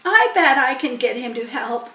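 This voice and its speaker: A shrewd old storybook sheep, voiced by a woman, emphatic and persuasive. The voice is on the high side, in a roomy recording. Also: sing-song, normal speed, sharp tone, recorded from a distance, exaggerated voice. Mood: shrewd, confident. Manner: emphatic, lecturing. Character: old female sheep, shrewd, firm, persuasive barn elder.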